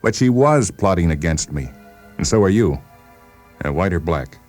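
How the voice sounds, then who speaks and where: A man voicing a defensive, suspicious psychiatric patient with an insistent, halting delivery in a radio story reading. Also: sits low, expressive, a little mellow. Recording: voice over background music.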